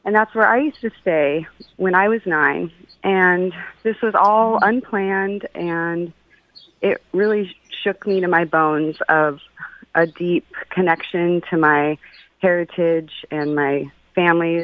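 Slow, halting woman on a phone-like line. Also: on the low side. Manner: halting, searching for words. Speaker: guest giving feedback on an astrology reading. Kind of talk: personal, reflective casual talk. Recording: phone-like call with some echo.

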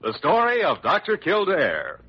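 A man's voice: sits high, wildly swooping pitch, normal speed, slightly rough. A man announcing a vintage radio program in a formal, dramatic style. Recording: dull vintage radio recording. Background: loud music.

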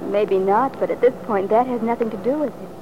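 A woman's voice: a little high, a little fast, lightly acted. An actress playing an earnest, devoted and wistful young woman in an old-time radio drama, speaking hesitantly. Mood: earnest, resolute. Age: young adult. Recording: muffled, noisy vintage radio recording.

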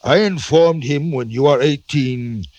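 Pompous husky old-radio general, played by a man. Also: medium pitch, a little slow, a little mellow, no echo, lo-fi sound, close to an everyday voice. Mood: mellowing, firm. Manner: pompous, theatrical. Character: domineering Russian general, stern suspicious father. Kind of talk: old-time radio drama acting.

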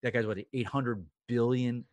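A man co-hosts a casual podcast and gives his opinions firmly, stumbling over repeated words as he builds a point. His voice is at a middle pitch for a man. It sounds close and dry. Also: steady tone, normal speed, darkish tone, no echo. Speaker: podcast co-host. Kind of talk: casual chat.

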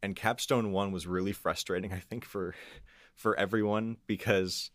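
A man's voice: on the low side, steady tone, moderate pace, a bit bright, dry recording, clean studio sound. A man speaking as a guest composer in a casual interview, hesitant and restarting as he thinks aloud. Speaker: guest composer and audio engineer. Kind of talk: casual behind-the-scenes interview talk.

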